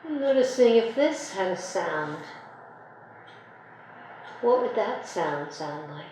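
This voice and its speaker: A woman leading a guided meditation, speaking gently and unhurriedly in short phrases with long pauses. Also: mid-range voice, expressive. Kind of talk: guided meditation, soothing slow instruction. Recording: very echoey room, faint background noise.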